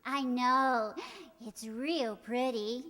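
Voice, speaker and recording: A woman's voice: a little high, fairly even intonation, extremely slow, fairly bright tone. A woman playing a coy, sweet, lovestruck teenage girl in old-time radio comedy style. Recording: reverberant hall recording.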